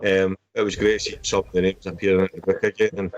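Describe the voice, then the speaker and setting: A man's voice: sits low, level tone, fast talker, no echo. A Scottish man chatting casually and at length as a writer on a panel, circling back to stock phrases. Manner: rambling, repeats stock phrases. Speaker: indie horror author and anthology publisher. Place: remote group video chat.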